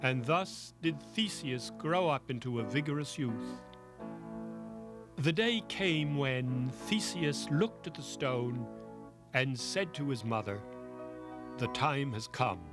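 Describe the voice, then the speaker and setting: A man narrating a children's story as a storyteller, in a measured, theatrical manner. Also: mid-range voice, expressive, music in the background.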